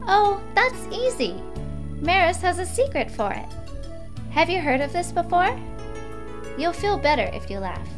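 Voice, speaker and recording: A very high, lively girl's voice acted by a woman for a visual novel. She is relentlessly cheerful and bubbly, always coaxing and encouraging. Her pitch bounces around freely, with music behind her. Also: a little slow, pauses often, heavily acted. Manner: bubbly, encouraging, refers to herself in third person. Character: cheerful, relentlessly enthusiastic mysterious young girl.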